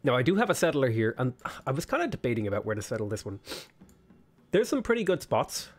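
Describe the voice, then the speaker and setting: A man's voice: mid-range voice, very animated, fast. A man with a sniffly cold, casually commentating a strategy game while he thinks aloud, weighing choices with plenty of ums. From Ireland. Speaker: let's play host.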